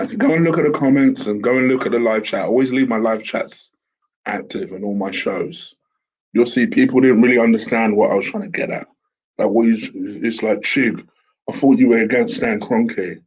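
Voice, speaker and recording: Hoarse, croaky man talking. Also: mid-range voice, a little mellow. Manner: chatty, rambling with fillers and restarts. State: hoarse sore throat. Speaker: host. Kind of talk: casual solo commentary. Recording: dull lo-fi home recording with fan.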